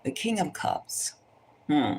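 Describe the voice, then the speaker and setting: A woman's voice: low-pitched, very animated, slow, darkish tone, a little hiss or hum. A woman tarot reader chatting casually and thinking aloud, gossipy and opinionated, often rambling and repeating her phrases. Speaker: tarot reader hosting a celebrity psychic reading.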